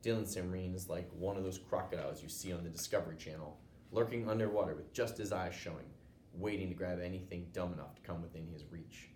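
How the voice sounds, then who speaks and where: American male storybook narrator, low voice, teacher reading aloud, recorded in an echoey room. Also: fairly even intonation, fast, a little mellow. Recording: roomy echo, noticeable background noise.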